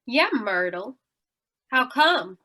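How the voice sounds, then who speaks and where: A woman's voice: fairly high pitch, big pitch swings, slow pace, sharp tone, full character voice. A woman playing a suspicious, sarcastic carnival woman in an old-time radio noir, needling the others. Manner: sardonic, needling.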